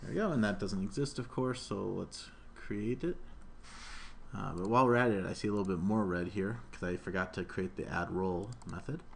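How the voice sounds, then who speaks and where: Male programming instructor, fairly low voice, informal step-by-step talk, slightly noisy desk mic with keyboard sounds. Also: brisk, creaky. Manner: informal step-by-step narration of own actions. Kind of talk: live coding tutorial, thinking aloud. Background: keyboard typing, room noise.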